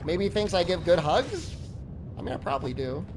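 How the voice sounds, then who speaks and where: A man in his forties talks to his stream chat as he plays. His pitch moves a lot, so he sounds lively. He is crude and jokey, slipping into mock-furious tirades at viewers. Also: fairly quick, background noise. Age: 40s. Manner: profane, joking rants at chat. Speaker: game streamer. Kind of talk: live-stream chat while gaming.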